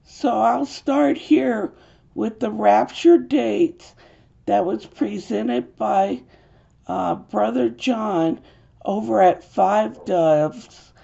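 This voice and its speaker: A woman with an American accent, hoarse from a cold, earnestly explaining an urgent religious message in a halting way with fillers. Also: medium pitch, warm tone. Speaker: video host. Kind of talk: urgent religious video monologue. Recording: dull lo-fi home recording.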